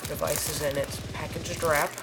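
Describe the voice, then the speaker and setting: A woman with a low, slightly hoarse voice talks through a gadget unboxing in a loose, halting way, filling the gaps with ums. Clear music plays underneath her. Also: little pitch movement. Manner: rambling, halting, many fillers. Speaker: tech reviewer. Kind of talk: casual unboxing review.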